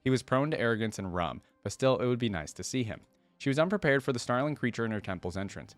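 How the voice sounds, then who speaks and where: A man's voice: medium pitch, animated, quick pace, no echo, faint music behind. A man hosting a reaction video, reading a story aloud and commenting casually as he goes.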